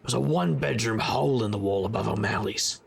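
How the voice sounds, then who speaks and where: Man playing a gravelly, world-weary private eye. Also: fairly low pitch, fairly quick, heavily acted. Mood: wistful, wry. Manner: wry, world-weary noir narration. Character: gruff, world-weary middle-aged male time-travelling private investigator. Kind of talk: audio drama narration and dialogue.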